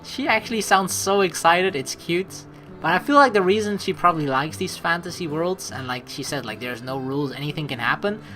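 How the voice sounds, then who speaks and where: A woman's voice: lowish voice, animated, brisk, fairly bright tone, faint music behind, poor audio. A young woman giving casual, slangy game commentary, reading aloud and reacting as she goes. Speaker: let's play host.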